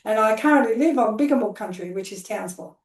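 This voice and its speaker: A woman's voice: a little high, lively intonation, fast talker, distant mic. Chatty Australian woman in an echoey room. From Queensland, Australia. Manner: chatty, rambling with fillers. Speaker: guest, social worker. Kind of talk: casual storytelling interview. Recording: roomy echo.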